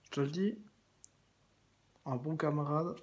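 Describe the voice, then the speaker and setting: A man with a husky, slightly breathy voice talks straight to one absent listener, addressing him by name and inviting him over. He rambles, circles back to the same phrases and restarts his sentences often. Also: a little high, measured pace. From France. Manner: meandering, repeats himself, many restarts and fillers. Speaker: video blogger addressing someone. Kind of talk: rambling direct-address monologue.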